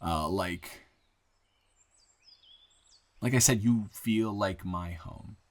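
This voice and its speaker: A man playing an earnest, grateful young man shyly confessing love to a friend in an intimate, halting, improvised audio roleplay. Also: deep voice. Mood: vulnerable, affectionate. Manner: halting, rambling, earnest, breaking into soft laughs.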